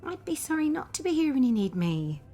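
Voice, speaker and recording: Reassuring female partner, highish and expressive, radio drama, faint music. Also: brisk. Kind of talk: radio soap acting.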